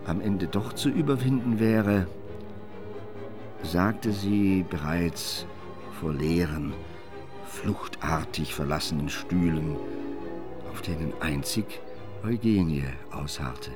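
Low-voiced male literary narrator. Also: takes it slowly, long pauses, music in the background. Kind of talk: scripted literary narration for radio play.

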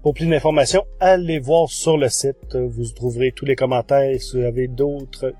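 A man's voice: mid-range voice, fairly even intonation, normal speed, warm tone, dry recording, faint music behind. A man, hosting a podcast and presenting reviews of audio shows in a monologue. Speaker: podcast host.

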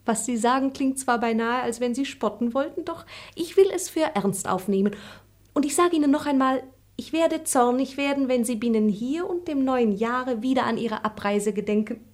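Brief: a woman as a bright-voiced young lady, teasing and playful, radio play. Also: fairly high pitch, moderate pace, lightly acted. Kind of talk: radio drama acting.

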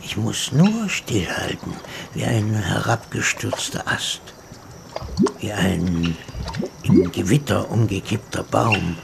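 An actor playing a patient, menacing swamp reptile that speaks as the primal brainstem, in a slow, hushed, half-whispered way, heard from an old tape in a radio drama. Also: male voice, on the low side, lively intonation, gravelly, heavily acted. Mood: menacing calm. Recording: hissy tape recording.

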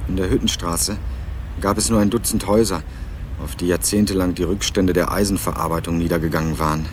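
An actor playing a dry, low-voiced crime narrator. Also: male voice, fairly even intonation, brisk, slight background noise, natural voice. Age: young adult. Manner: laconic, dry hard-boiled tone. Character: sardonic young man hunting his missing cousin. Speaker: first-person narrator and student sleuth. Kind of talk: radio crime drama narration and dialogue. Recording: radio play with music underneath.

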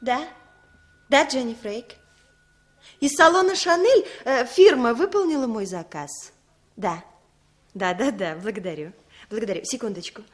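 A woman acting a charming society lady in a vintage radio drama. Her fairly high voice moves in lively swoops, polished and effusive. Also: moderate pace, long pauses, lightly acted. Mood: gracious, polite. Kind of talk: 1960s Soviet radio play acting.

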